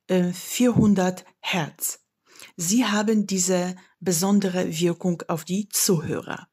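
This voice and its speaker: A woman from Poland with a Polish accent, a voice coach giving measured, instructive explanations in an interview. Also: medium pitch, a little mellow, slightly rough. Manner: measured and instructive, demonstrates examples. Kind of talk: slow, deliberate expert explanation.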